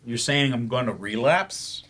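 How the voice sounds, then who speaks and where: A man's voice: mid-range voice, expressive, measured pace, fairly bright tone. A man playing an earnest, wry writer turned remedial English teacher in a staged play reading. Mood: earnest, subdued. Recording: live staged reading in a library.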